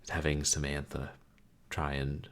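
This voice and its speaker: A man live-streaming a video game, talking calmly and softly, with a rambling, casual flow full of fillers. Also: rumbling low, measured pace. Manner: soft-spoken, calm, rambling with fillers.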